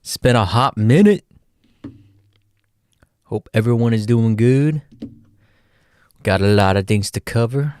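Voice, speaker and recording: A man with a Texan accent hosting a livestream, giving casual, folksy chart commentary and often calling out to "ladies and gentlemen". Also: medium pitch, lively intonation, a little slow, long pauses, clean tone, no echo, studio quality. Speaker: livestream host, market analyst.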